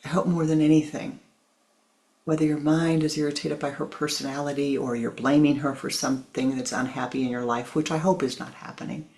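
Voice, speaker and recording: A spiritual teacher speaking softly and tenderly, with a gravelly edge in the voice. Phrases are repeated for emphasis, and listeners are called "my love". Sometimes the voice drops almost to a whisper. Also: mid-range voice, little pitch movement, brisk.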